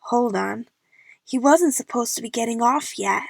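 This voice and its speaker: A woman's voice: a little high, moderate pace, creaky, dry recording. A young woman playing an eager, chatty movie fan who narrates her adventure in the first person for an audio drama. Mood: wry narration.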